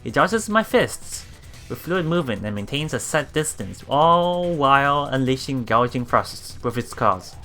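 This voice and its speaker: A man's voice: medium pitch, very animated, pushed and bright. A man reading visual novel text aloud for a let's play, stumbling over words and adding his own reactions. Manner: halting, stumbles over words and repeats them. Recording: music behind the voice. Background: game music.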